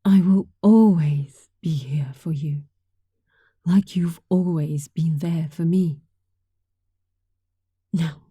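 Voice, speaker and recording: A woman's voice: on the low side, no echo, exaggerated voice. A woman playing a sweet, affectionate young catgirl roommate in an intimate roleplay, speaking tenderly and breathily, often close to a whisper. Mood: cozy, playful. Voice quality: soft, breathy. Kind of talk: intimate roleplay audio, softly acted monologue.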